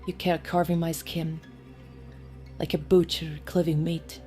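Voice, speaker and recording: A cold, quietly menacing young killer out for revenge, acted by a woman in an audio drama. The voice sits low and dark, soft-spoken and mellow, with a faint music bed behind it. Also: flat delivery, unhurried. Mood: cold menace.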